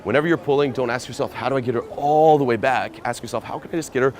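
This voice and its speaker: A man's voice: medium pitch, very fast, slight background noise. A man giving a casual, profane voice-over breakdown as a dating coach, peppering every point with "okay" and "you know". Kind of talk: commentary breaking down a recorded pickup.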